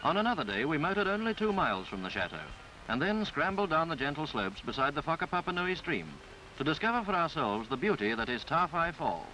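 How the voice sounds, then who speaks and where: Lively-pitched man narrating a 1950s newsreel. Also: rough recording. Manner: formal, florid, measured delivery. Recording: 1950s film soundtrack with background music. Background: music.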